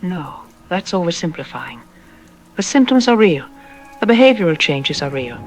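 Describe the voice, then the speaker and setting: A woman playing a self-assured psychologist on a radio drama interview, explaining in an articulate, didactic and calmly dismissive way. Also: lowish voice, normal speed. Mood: emphatic. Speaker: expert interview guest. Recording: music playing under the voice. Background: background music.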